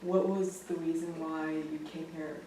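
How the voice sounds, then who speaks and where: A young woman hosting a casual campus interview, chatty and quick to giggle, with many fillers. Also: low voice, little pitch movement, dark tone, slight background noise. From grew up in Japan. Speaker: host. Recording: echoey room, mic at a distance.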